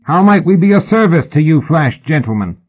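A man voicing a brash ringleader posing as a drunken rascal in an audiobook, with rough, jovial shouting. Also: fairly high pitch, sing-song, fairly quick, phone-quality sound, voice pushed up. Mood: rowdy, shouting. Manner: rough, jovial shouting, dropping to quiet command. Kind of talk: audiobook character acting.

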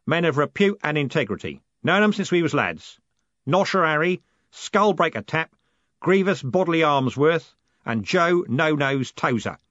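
Brief: male reader voicing a bold showman coachman, raised pitch, eager, dull dry audio. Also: lively intonation, unhurried, a bit bright, no echo. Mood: boastful. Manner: eager, boastful.

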